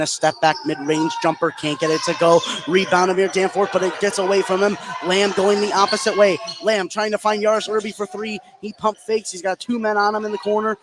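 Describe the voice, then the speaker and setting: High-voiced man calling basketball over gym noise. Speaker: play-by-play announcer. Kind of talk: live basketball play-by-play commentary. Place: courtside in a school gym. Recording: noisy gym broadcast. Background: loud gym ambience.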